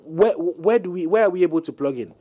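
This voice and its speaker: A man talking as a guest brand consultant on a panel talk show, pausing with many ums, then growing emphatic. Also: high-pitched. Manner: hesitant, many ums, grows animated and emphatic.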